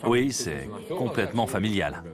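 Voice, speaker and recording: A man's voice: lowish voice, expressive, normal speed, natural voice. A man dubbing a worried restaurant owner. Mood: earnest. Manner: earnest, worried confiding. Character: weary middle-aged male restaurant owner, anxious. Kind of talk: dubbed reality TV confessional. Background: music bed.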